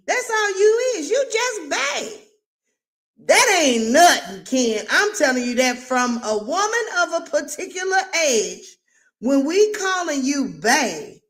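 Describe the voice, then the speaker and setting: A woman's voice: high-pitched, a bit bright. A woman who stutters, hosting a live recap of a reality show with emphatic, preachy commentary. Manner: emphatic and preachy, drawn-out stresses.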